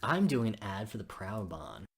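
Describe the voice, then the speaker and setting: A man's voice: lowish voice, sing-song, normal speed, husky, voice pushed down, heavily acted. A man voicing a smug, mocking teenage school rival in a visual novel read-aloud. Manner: mocking, cocky.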